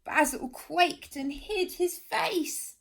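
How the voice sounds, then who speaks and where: A woman reading a children's picture book aloud, expressive and gently dramatic. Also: sits high, takes it slowly, vocal fry. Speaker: storyteller.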